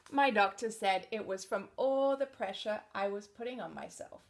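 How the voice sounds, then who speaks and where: A woman reading a picture book aloud to children in an expressive read-aloud manner, dropping to soft, chatty asides about the pictures. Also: mid-range voice, moderate pace, slight background noise. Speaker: storybook reader.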